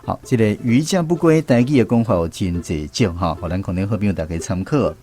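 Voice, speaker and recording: A man's voice: on the low side, expressive, a little fast, warm tone. A man with a Taiwanese accent hosting an educational language quiz on the radio. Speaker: radio host of a language segment.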